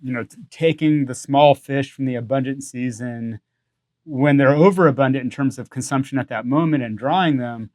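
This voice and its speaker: A man's voice: medium pitch, moderate pace, darkish tone, no echo, clean studio sound. A man hosting a podcast interview, talking in a loose, conversational way with plenty of "you know" and "um". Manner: conversational, with many fillers. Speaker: podcast host.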